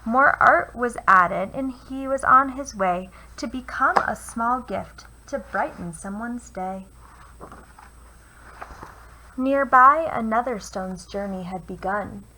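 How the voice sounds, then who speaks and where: A woman reading a children's picture book aloud with expressive, deliberate phrasing, as a teacher would for young listeners. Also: on the high side. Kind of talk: children's storybook read-aloud. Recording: noisy home recording.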